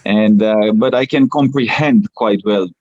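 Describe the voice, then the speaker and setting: A man's voice: on the low side, measured pace, a little mellow, highs cut off. A man from Romania whose first language is Romanian, talking as a podcast guest with many fillers and restarts. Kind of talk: casual interview talk.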